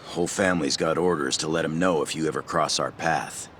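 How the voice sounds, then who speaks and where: An actor playing a wary, edgy yakuza gangster in a video game cutscene, with a gravelly voice and a rough, defensive, insistent way of talking. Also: male voice, a bass voice, brisk, fairly bright tone, a little hiss or hum.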